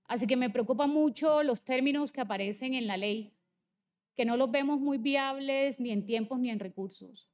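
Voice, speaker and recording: Colombian coast lady from barranquilla giving a political speech, pretty high voice, insistent. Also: moderate pace, darkish tone. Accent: Colombian Caribbean, Barranquilla. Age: 40s. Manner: insistent, emphatic. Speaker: legislator. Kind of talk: political debate speech with slides.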